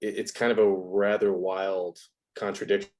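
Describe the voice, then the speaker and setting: A man's voice: low-pitched, level tone, normal speed, a little mellow. A man speaking as an interview guest, explaining things casually with articulate, wordy, academic phrasing. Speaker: interview guest, video essay presenter.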